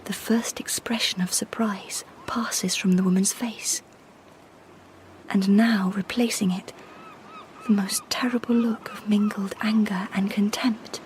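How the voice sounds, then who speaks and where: An actress playing a frightened but defiant young Victorian bride, speaking intensely in formal British English for a radio drama. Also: female voice, medium pitch, steady tone, a little slow, a little mellow, slight background noise, natural voice, poor audio. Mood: dismayed. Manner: intense, formal period diction. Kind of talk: radio drama acting with inner narration.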